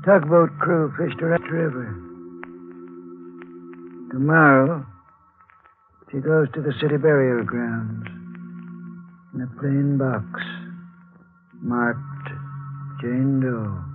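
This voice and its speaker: Man playing a slow, somber morgue attendant. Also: mid-range voice, fairly even intonation, lightly acted. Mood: grave. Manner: deliberate, grave, mysterious. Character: somber, fatherly older male morgue attendant. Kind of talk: 1940s radio drama acting. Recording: tinny 1940s radio recording, faint music.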